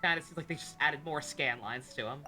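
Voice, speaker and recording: A woman's voice: sits low, fairly quick. A woman doing casual live game commentary as a streamer, chatty, sarcastic and sweary. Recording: game music behind voice. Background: video game music.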